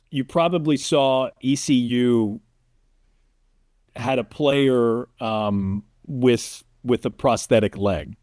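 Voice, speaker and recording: A man's voice: mid-range voice, a little mellow, no room sound, studio quality. A man who works as a sports announcer, telling stories informally as an interview guest and often checking in with the listener. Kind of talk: casual interview storytelling.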